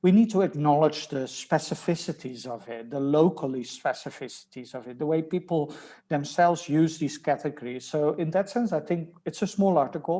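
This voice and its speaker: Man, Dutch accent, middle-aged, fairly high pitch, reflective academic talk, frequent ums. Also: dark tone. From Netherlands. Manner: thoughtful, hesitant with many ums and restarts. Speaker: author and researcher guest. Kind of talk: academic conversation.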